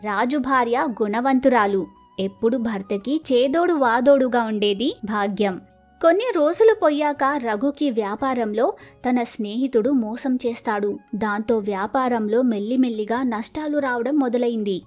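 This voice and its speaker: Very high-pitched woman storyteller. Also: normal speed, dull audio, soft background music. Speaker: narrator. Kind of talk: scripted moral-story narration.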